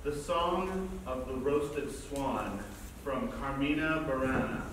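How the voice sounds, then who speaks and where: A man's voice: medium pitch, slow pace. A man reading scripted stage directions as narrator of a staged play reading. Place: large theater stage, recorded from afar. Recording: echoey theater, distant mic, noisy.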